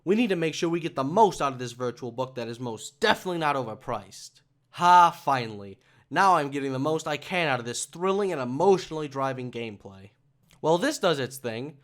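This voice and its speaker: A man narrating a comedic how-to as an online video host, sarcastic and mocking, swearing freely and often sounding exasperated. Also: on the high side. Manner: foul-mouthed, mocking, exasperated rants. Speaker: YouTube host.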